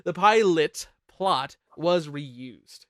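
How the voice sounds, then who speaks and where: A talkative host runs a casual ranking chat with plenty of joking and swearing. The pitch swings around in an animated way, and the recording is dry and close. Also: very slow, no echo. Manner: jokey, profane, chatty. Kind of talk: casual chat ranking songs on a panel show.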